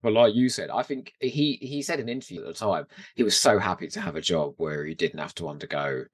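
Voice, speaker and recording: A British man co-hosting a podcast, chatting casually and enthusiastically about television, quick to laugh. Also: sits low, no room sound. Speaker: podcast co-host. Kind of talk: casual TV rewatch chat.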